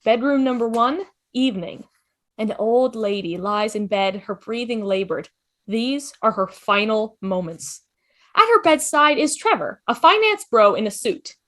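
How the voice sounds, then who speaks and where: A woman's voice: fairly high pitch, lively intonation, unhurried, fairly bright tone. A woman reading scripted stage directions for an amateur table read, announcing each scene.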